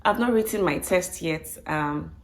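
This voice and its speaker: A woman with a croaky voice, recovering from flu, chatting casually to viewers and often repeating and rephrasing as she goes. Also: fairly low pitch, unhurried, slight background noise. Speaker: vlogger. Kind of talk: casual budget breakdown talk to viewers.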